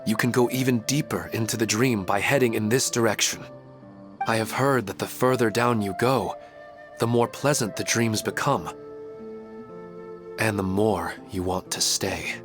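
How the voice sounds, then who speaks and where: Actor as humble gentle father, lowish voice, polite and measured, game dialogue over music. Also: lightly acted. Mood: resigned guiding. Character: gentle, humble family man, polite and resigned. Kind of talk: video game voice acting. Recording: music clearly behind the voice.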